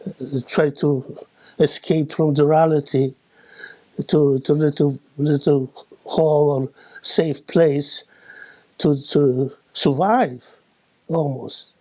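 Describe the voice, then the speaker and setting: A man speaking reflectively and haltingly, repeating words, on a slightly dull recording. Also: on the high side, fairly even intonation, darkish tone, no echo. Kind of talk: reflective interview talk.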